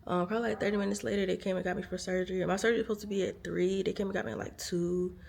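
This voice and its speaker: A woman's voice: medium pitch, fairly even intonation, rapid-fire, gravelly, darkish tone. A tired woman, chatting casually to viewers from a hospital room. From United States. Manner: chatty, lots of fillers, speaks to viewers as y'all. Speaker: vlogger. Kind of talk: casual storytelling to camera.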